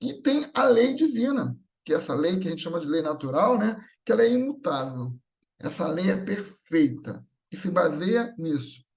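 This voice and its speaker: A man's voice: high-pitched, a little mellow. A man with a Brazilian accent giving a conversational, explanatory religious talk, informal and often checking in with rhetorical questions. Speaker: speaker at a Spiritist gathering.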